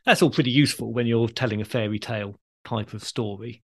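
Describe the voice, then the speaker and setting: Guy hosting a history podcast, measured and explanatory, mid pitched voice. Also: vocal fry, a bit bright. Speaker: podcast host.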